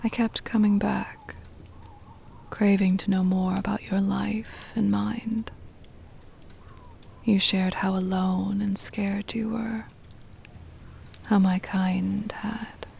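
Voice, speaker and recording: A woman playing a centuries-old vampire mistress, tender and possessive, in a hushed, breathy ASMR whisper with deliberate, formal wording. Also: medium pitch, level tone, slight background noise. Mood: tender reminiscing. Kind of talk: ASMR roleplay, intimate scripted monologue.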